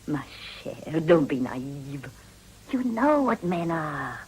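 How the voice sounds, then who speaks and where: Husky, worldly madam. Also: female voice, low-pitched, big pitch swings, slow, heavily acted. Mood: cynical, amused. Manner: theatrical, knowing, teasing. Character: worldly, cynical, coaxing madam with French affectations. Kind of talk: period radio drama acting.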